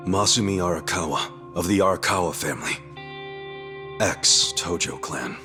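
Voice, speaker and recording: Man playing a low-voiced, earnest yakuza idealist. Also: moderate pace, music in the background, lightly acted. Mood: earnest admiration. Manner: casual, frank, heartfelt. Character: earnest, brash middle-aged yakuza idealist, loyal ex-convict.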